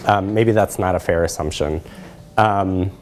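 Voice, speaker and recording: A man's voice: low-pitched, unhurried, slight background noise. A middle-aged man lecturing in a conversational way as he presents research and takes questions. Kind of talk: conversational academic talk with questions. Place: lecture room, indoors.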